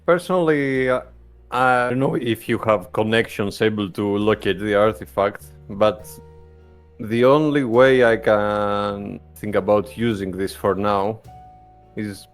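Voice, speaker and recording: A man's voice: lowish voice, measured pace, smooth, soft background music. A man playing a tabletop roleplaying game, discussing strategy in a considered, hesitant way.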